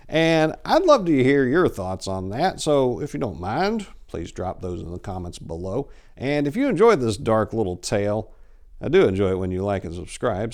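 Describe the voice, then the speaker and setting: An American man in his forties telling a story in a theatrical, expressive, dramatic storyteller's manner. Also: on the low side. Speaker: author reading his own short story. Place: indoor room lined with bookshelves. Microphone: lapel mic.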